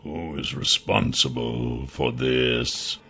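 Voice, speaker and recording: A man's voice: a bass voice, steady tone, bright, forceful tone, pitched below the performer's own voice, heavily acted. A man voicing a cursed elven king turned savage beast in a fantasy audiobook, throaty, grave and pained, forcing out ominous words. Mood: grave, weary. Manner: halting, forced, ominous words.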